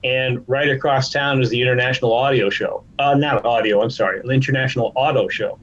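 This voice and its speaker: A man's voice: mid-range voice, steady tone, brisk, a bit bright, lo-fi sound. A man speaking conversationally as a guest executive in a podcast interview. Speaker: podcast guest, trade association chief executive.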